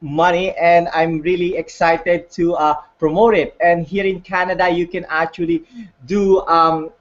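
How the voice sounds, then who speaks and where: A man's voice: a little high, flat delivery, lo-fi sound. A man with a Filipino accent who speaks English as a second language. He talks hesitantly on a video call, full of fillers and restarts. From Philippines. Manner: halting, many fillers and restarts. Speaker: guest on online call. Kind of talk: casual hesitant testimony.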